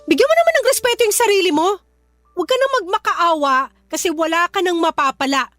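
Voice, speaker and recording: A young Filipino woman who is hurt and fed up. Her voice sits extremely high and she scolds with firm emphasis. It is radio drama, with soft music underneath. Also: expressive, fast, dry recording. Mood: firm, exasperated. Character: hurt, indignant young woman standing up for herself. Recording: music behind the voice.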